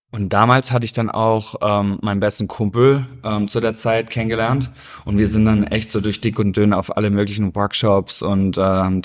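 Swabian guest, low-ish voice, rambling interview chat. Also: male voice. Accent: Swabian German. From Stuttgart, Germany. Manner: easygoing, rambling, many hesitations. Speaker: interview guest, dancer and choreographer.

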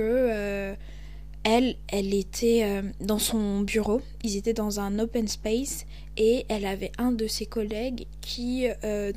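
A young woman from Cameroon with a Cameroonian French accent hosts a podcast, talking in an animated, emphatic way. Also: mid-range voice. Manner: animated, emphatic, switches into English. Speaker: podcast host. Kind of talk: casual monologue.

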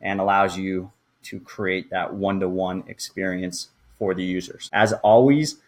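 A man's voice: deep voice, steady tone, measured pace, darkish tone. A man hosting a podcast, explaining and walking through material in an informal, conversational presenting style. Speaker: podcast host.

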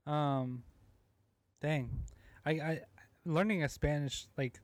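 A man's voice: fairly high pitch, slow, dry recording. A young man hosting a casual podcast who asks loose follow-up questions and laughs easily. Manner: laid-back interviewer, asks loose questions, laughs often. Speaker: podcast host. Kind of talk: casual chat.

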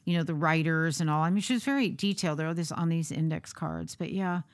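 Woman, low voice, musician guest, casual chat, dry sound. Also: quick pace, no echo.

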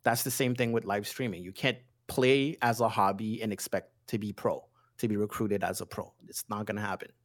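A man's voice: fairly low pitch, fairly even intonation, fairly quick, few pauses. A man, a podcast guest chatting casually, often restarting and repeating words. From Haiti. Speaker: podcast guest, streaming coach.

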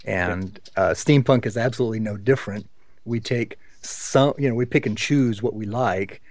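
A man's voice: on the low side, normal speed, darkish tone. A man hosting a podcast discussion in an informal, chatty way, with some enthusiasm for the subject. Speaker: podcast host.

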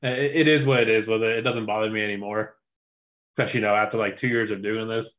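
A player chatting casually, an animated man who laughs easily. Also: lowish voice, fast. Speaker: player and podcaster. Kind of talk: casual stream chat.

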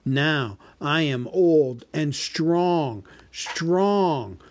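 Man voicing a dragon, huge, old, boastful, menacing, slow, gloating storybook villain. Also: fairly high pitch, animated, a little hiss or hum, pitched above the performer's own voice.

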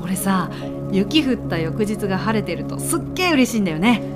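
Woman playing a quick, cheerful boyish young man. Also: mid-range voice, very animated, over music, voice pushed down, lightly acted. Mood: upbeat, casual. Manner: casual, boyish phrasing. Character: cheerful casual young man in a winter romance. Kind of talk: amateur radio drama acting.

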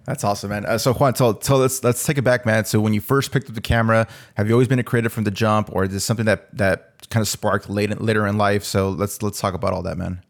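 Man, podcast host, low gravelly voice, laid-back slangy chat. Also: steady tone, fast, hardly any pauses. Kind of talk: casual interview chat.

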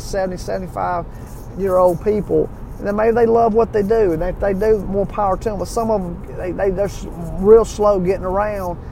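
A man's voice: high-pitched, creaky, darkish tone, a little hiss or hum, poor audio. A man in his forties giving an earnest, folksy motivational talk to his viewers, repeating himself and restarting phrases. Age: 40s. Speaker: motivational vlogger. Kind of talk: casual motivational talk to camera.

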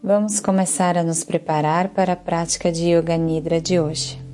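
Mellow, low-voiced Brazilian woman guiding relaxation. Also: faint music behind. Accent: Brazilian Portuguese. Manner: calm, soothing, unhurried instruction. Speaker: yoga nidra instructor. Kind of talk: guided relaxation meditation.

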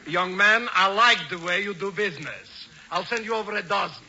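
A man's voice: sits high, moderate pace, pushed and bright, slightly rough, heavily acted. A man playing a pompous, wisecracking con-man professor who loves money, in a 1940s radio comedy. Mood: smug, sly. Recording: muffled, noisy 1940s radio broadcast.

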